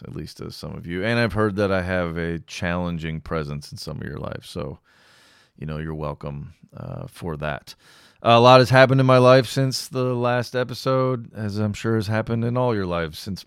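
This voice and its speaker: Deep-voiced man hosting a podcast. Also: clean tone, no echo, clean studio sound. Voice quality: calming voice. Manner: relaxed, rambling, with frequent restarts and self-deprecating humor. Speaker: podcast host. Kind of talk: casual solo podcast monologue.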